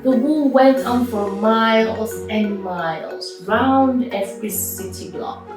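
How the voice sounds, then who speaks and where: A woman reading a story aloud to children as host, expressive and enthusiastic, with chatty asides and drawn-out emphasis. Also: on the high side. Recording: very echoey room, distant mic, music behind.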